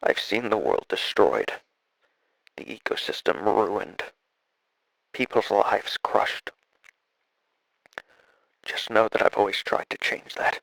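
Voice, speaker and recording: Man acting as slow, gravelly dying scientist. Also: lowish voice, expressive, a bit bright, dry recording, pitched below the performer's own voice, full character voice, rough recording. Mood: resigned, apologetic. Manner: halting, solemn, weary delivery with trailing phrases. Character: dying male scientist, regretful, desperate, recording audio logs. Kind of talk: audio drama acting, spoken log.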